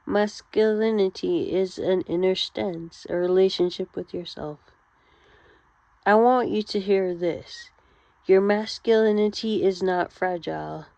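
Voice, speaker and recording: A woman delivering a guided therapy-style monologue, speaking gently and deliberately in short phrases with pauses between them. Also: mid-range voice. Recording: roomy, slightly dull lo-fi sound.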